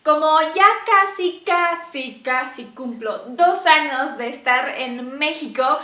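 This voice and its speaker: A woman's voice: high-pitched, animated, takes it slowly, bright, forceful tone. A young woman from Romania, chatting casually to camera in Spanish and laughing often. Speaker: vlogger. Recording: echoey room.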